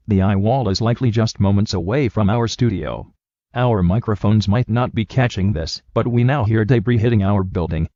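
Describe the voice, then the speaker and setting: Male TV anchor played by a man for hurricane drama: lowish voice, composed urgency, dry lo-fi recording. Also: dark tone, no echo, lightly acted. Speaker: news anchor. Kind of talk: scripted breaking weather news. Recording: dull, lo-fi, dry sound.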